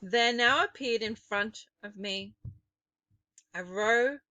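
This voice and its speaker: A woman narrating a children's story expressively, with dramatic, deliberate phrasing. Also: a little high, pushed and bright, no echo, muffled recording.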